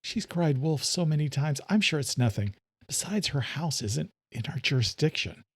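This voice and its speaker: A man voicing a young female police officer, a guarded and weary daughter, speaking softly in an audio thriller. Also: fairly high pitch, animated, moderate pace, breathy edge, no echo. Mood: resigned.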